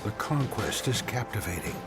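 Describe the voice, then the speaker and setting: A man with a fairly low voice narrates a commercial in a poetic, scripted style. Loud music runs under his words throughout. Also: very animated, moderate pace. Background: prominent music.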